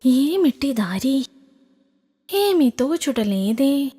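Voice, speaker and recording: A woman's voice: high-pitched, sing-song, extremely slow, full character voice. A woman voicing a sly, lazy fox with a whiny, weary manner for a children's cartoon story. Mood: scheming. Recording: music behind the voice.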